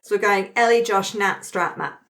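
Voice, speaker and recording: A woman's voice: medium pitch, moderate pace, fairly bright tone. A woman explaining game rules conversationally as facilitator, checking in with her group of players.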